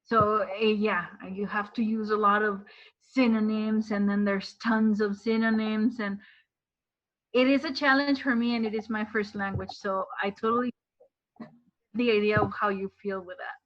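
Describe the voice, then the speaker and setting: A woman's voice: medium pitch, fairly even intonation, normal speed. Woman with a Spanish accent, lo-fi audio. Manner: careful, hesitant phrasing. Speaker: podcast guest. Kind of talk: casual conversation.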